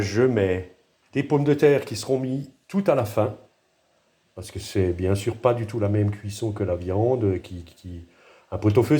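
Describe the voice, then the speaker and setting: A man with a pronounced regional French accent talks through a dish in his home kitchen, recorded on a handheld phone. His voice sits fairly low and sounds dark and mellow. He hesitates, restarts and makes light of himself as he goes. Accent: noticeable regional French accent. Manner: hesitant, self-deprecating, thinking aloud. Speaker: home cook. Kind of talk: casual cooking demonstration.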